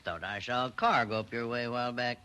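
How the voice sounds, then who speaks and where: An actor playing a friendly, excitable rural storekeeper in old-time radio drama, talking in a folksy way. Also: male voice, mid-range voice, fast talker, clean tone, close to an everyday voice. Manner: folksy, dropped words, homely exclamations. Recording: noisy old radio broadcast.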